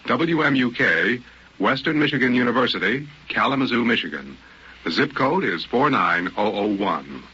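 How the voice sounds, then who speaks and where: Bright, forceful male radio announcer, muffled recording. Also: medium pitch, breathy edge. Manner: formal, deliberate. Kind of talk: scripted broadcast announcing.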